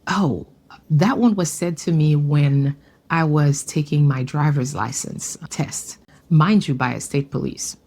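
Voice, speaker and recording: A woman from Haiti giving an earnest, measured personal testimony. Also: low-pitched, darkish tone.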